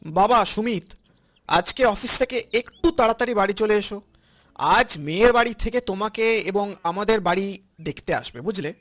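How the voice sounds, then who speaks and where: A man's voice: high-pitched, moderate pace, a bit bright. A man voicing a principled older father in a cartoon, earnest and preachy as he admonishes. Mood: earnest, kindly. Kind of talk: cartoon voice acting.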